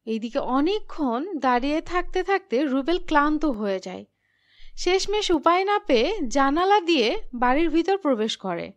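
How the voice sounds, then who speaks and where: High-pitched woman narrating a story.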